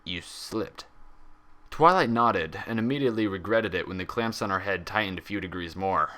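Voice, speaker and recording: A man reading a story aloud as scripted, expressive narration. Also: low voice, fairly quick. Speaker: fan fiction reader.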